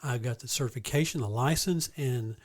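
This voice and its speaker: A man hosting a podcast interview, folksy and joking, sometimes drawing out his words. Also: medium pitch. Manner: folksy and joking, drawn-out delivery. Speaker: university president and podcast host.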